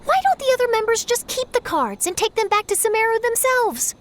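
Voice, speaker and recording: High, expressive young female adventurer. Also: brisk, a little hiss or hum. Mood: pondering. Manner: pondering, questioning. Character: young female traveler, thoughtful, inquisitive investigator. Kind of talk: video game voice acting.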